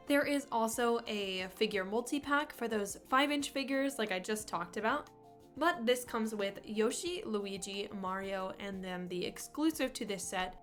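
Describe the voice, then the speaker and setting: A woman's voice: medium pitch, a bit bright. A young woman in her twenties with a congested voice from a cold, chatting fondly and upbeat as she reviews toys for her vlog. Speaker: toy collector vlogger. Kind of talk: casual toy review vlog.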